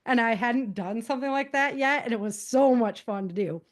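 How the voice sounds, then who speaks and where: A woman's voice: a little high, moderate pace. A woman speaking as a podcast guest, giving practical advice in a casual, conversational way and explaining things with animation.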